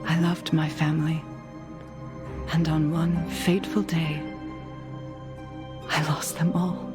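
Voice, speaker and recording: An actress playing a solemn, humble undead noblewoman in a video game cutscene, measured and earnest. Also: deep voice. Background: music.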